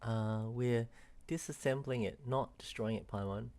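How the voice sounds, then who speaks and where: A woman's voice: very deep voice, measured pace, darkish tone. A woman game streamer giving casual, chatty and slightly hesitant playthrough commentary. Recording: music behind the voice. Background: game music.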